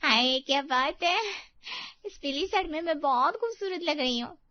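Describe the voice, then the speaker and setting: A woman's voice: high-pitched, animated, moderate pace, no echo, pitched above the performer's own voice. A woman voicing a naive, earnest young unmarried girl in a cartoon story. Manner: soft, earnest. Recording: dull dry recording.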